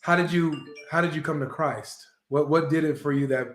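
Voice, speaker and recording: A man's voice: fairly high pitch, steady tone, brisk, faint music behind. A man hosting an interview, asking guiding questions in an affirming, brotherly way. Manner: affirming, brotherly, frequent short agreements. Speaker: host. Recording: echoey room sound.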